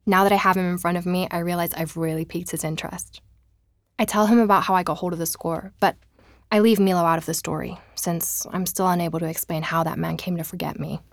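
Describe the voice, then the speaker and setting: A woman's voice: fairly low pitch, fairly even intonation, quick pace, dry recording, studio quality, lightly acted. A woman acting in an audio drama as an inquisitive young researcher who tells of her travels with earnest wonder. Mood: reflective narration. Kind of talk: audio drama narration and natural dialogue.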